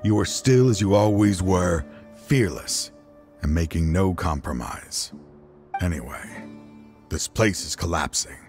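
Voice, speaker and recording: A very low, weary voice of a dying older lawman, solemn and resigned. He speaks in measured, remorseful phrases as in a dramatic game scene, with faint music underneath. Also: darkish tone. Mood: weary, reassured. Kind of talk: dramatic video game voice acting. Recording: music behind the voice.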